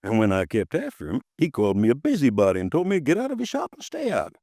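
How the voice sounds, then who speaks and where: A man's voice: medium pitch, wildly swooping pitch, quick pace, dark tone, no room sound, clean studio sound. A folksy insurance agent in his fifties. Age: 50s. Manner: folksy, chatty, easily riled. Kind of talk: 1950s radio drama acting. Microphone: close studio mic, dry.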